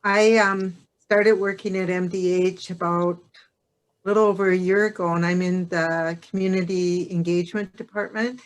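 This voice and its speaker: A woman's voice: on the low side, fairly even intonation, moderate pace, rough recording. A woman introducing herself on a video call in a friendly, chatty way. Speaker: public health staff guest. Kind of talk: self-introduction.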